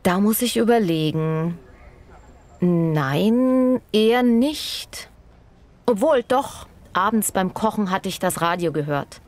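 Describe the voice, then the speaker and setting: A woman's voice: mid-range voice, animated, slow, a little mellow. A woman playing a practical working passer-by, giving matter-of-fact answers in a scripted language-lesson dialogue. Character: practical working woman stopped for a street survey.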